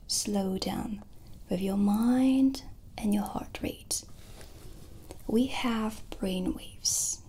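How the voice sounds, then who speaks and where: A woman in her thirties whispering breathily and gently into a close microphone, with long pauses, as an ASMR witch shopkeeper. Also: a little high. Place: small dark candlelit indoor set. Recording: background ambience noise.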